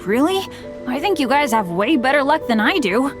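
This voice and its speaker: A woman's voice: high voice, very animated, moderate pace. A woman voicing a luckless, earnest adventurer boy in a video game, with a boyish, apologetic yet hopeful manner. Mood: touched, hopeful. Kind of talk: animated video game voice acting. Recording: game music behind voice. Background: game music.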